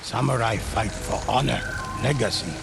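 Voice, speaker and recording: A man playing a solemn storyteller in a game, narrating samurai-era legends in a measured, hushed, dramatic way with a gravelly voice. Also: lowish voice, over music. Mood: solemn, reverent.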